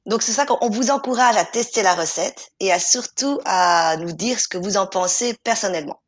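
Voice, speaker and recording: A woman's voice: medium pitch, moderate pace. Woman with a cold, chatty. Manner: chatty, hesitant with many fillers. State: has a cold. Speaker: podcast co-host. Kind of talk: casual chat.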